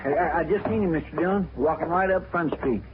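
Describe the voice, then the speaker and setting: An actor playing a loyal, fretful frontier deputy with a hesitant, stammering drawl. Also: male voice, a little high, fast, lightly acted. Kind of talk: 1950s radio drama acting. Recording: tinny, hissy old radio broadcast.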